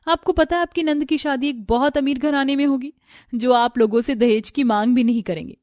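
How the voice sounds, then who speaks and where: Woman as young bride-to-be, family story; affectionate, assured, high register. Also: brisk, darkish tone, dry recording, studio quality, close to an everyday voice. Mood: reassuring.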